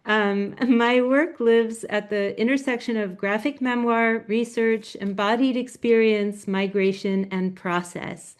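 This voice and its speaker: A soft-spoken woman gives a presentation as a guest speaker. Her voice is quiet but smooth and clear, at a middle pitch for a woman. It carries the slight thinness of a video call. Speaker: guest speaker, graphic novelist.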